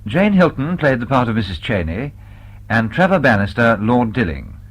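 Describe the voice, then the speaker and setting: A man's voice: mid-range voice, big pitch swings, moderate pace. A man with a Received Pronunciation accent reading a formal scripted announcement as a radio continuity announcer. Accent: British Received Pronunciation.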